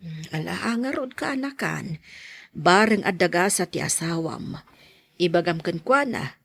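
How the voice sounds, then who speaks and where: A woman acts out an older, concerned grandmother in a podcast drama. Her voice is breathy and worn, swinging widely in pitch as she gives family advice. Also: lively intonation, normal speed, fairly bright tone, exaggerated voice. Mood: advising.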